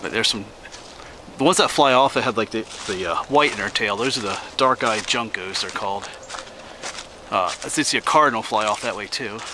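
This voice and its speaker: A middle-aged man from Pennsylvania casually narrating as he hikes a winter forest trail, relaxed and slightly out of breath. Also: fairly low pitch. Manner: relaxed, chatty, pauses for breath. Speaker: hiking vlogger. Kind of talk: casual narration while hiking. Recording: outdoor noise on camera mic.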